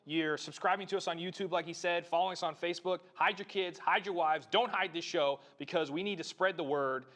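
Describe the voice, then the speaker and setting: A man's voice: fairly high pitch, fairly quick, fairly bright tone. A man co-hosting a show, upbeat and joking, often laughing as he talks. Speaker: co-host.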